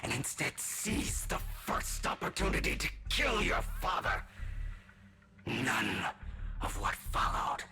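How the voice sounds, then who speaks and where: Dark male inner self, tempting, menacing half-whisper, slow and low; game music underneath. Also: wildly swooping pitch, exaggerated voice. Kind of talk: video game cutscene voice acting.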